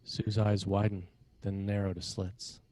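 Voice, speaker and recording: A man with a fairly low voice serves as narrator for a screenplay. He reads scene directions matter-of-factly, in measured, clipped phrases. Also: level tone, warm tone, no echo.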